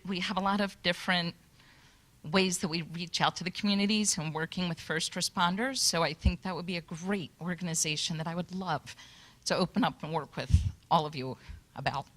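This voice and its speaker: A woman's voice: fairly low pitch, fairly bright tone, poor audio. A woman from Michigan making a nervous but earnest public comment at a workshop microphone. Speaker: audience member at a public workshop. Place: conference hall. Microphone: handheld microphone.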